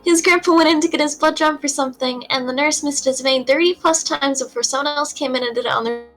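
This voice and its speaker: A woman's voice: high voice, fast, a bit bright, soft background music. A woman speaking casually, sharing remarks in an informal group conversation. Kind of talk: casual chat.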